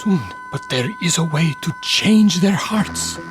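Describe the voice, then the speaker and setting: A man's voice: fairly high pitch, lively intonation, measured pace, over music. An actor playing a grave, desperate village father in a radio drama. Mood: urgent. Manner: solemn, heavy-hearted.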